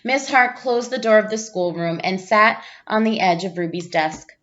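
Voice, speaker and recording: A woman reads a children's story aloud in a medium-pitched voice, with teacherly, expressive emphasis. The recording is a little dull and lo-fi. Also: normal speed. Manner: animated character voices, clear storytelling emphasis. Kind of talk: expressive children's picture book read-aloud.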